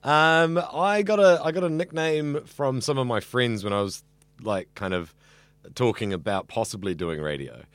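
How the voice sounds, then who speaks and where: A man in his thirties with a deep voice and a New Zealand accent, chatting casually and talkatively in an interview. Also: normal speed, a bit bright, dry recording. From Auckland, New Zealand. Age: 30s. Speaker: radio student being interviewed.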